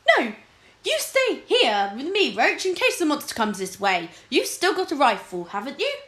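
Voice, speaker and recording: Woman putting on a petulant, cowardly king, pitched up. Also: sing-song, normal speed, fairly bright tone. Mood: anxious. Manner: whimpering and snapping imperiously. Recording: echoey room.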